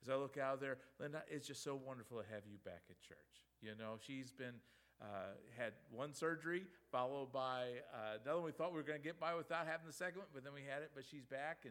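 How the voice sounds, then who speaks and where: A man's voice: medium pitch, a bit bright. A man, the church's pastor, leading an earnest prayer that keeps repeating "Lord," then introducing a guest speaker.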